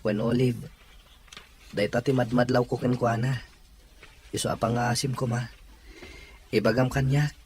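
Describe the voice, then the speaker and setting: Young boyfriend, earnest and anxious, medium pitch, Ilocano accent, radio drama with faint background noise male actor. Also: flat delivery, moderate pace, long pauses, darkish tone, close to an everyday voice. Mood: pleading. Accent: Ilocano Filipino.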